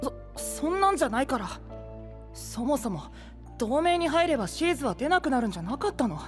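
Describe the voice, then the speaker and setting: A man's voice: very high voice, big pitch swings, brisk, faint music behind. An actor playing a prickly but caring boyish young man in an anime voice drama, with tart, sulky phrasing. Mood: irritated. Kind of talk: Japanese anime voice drama acting.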